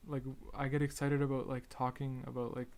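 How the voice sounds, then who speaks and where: Hesitant young man with a flat, stuttering delivery. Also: medium pitch, brisk. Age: young adult. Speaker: podcast host. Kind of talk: casual solo podcast chat.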